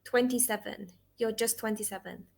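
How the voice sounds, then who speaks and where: A woman's voice: a little high, fairly even intonation, normal speed, creaky, darkish tone. A woman in her twenties, an English tutor, chatting casually on a video call, laughing often and asking lots of questions. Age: 20s. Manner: giggly, chatty, asks many questions.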